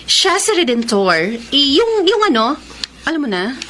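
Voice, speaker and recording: Sweet, evasive young girlfriend for radio romance; high, very animated voice. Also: unhurried, slight background noise, exaggerated voice. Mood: nervous. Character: Ayesha, young girlfriend hiding secrets, sweet but evasive. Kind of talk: Filipino radio romance drama acting. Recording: radio drama with music underneath.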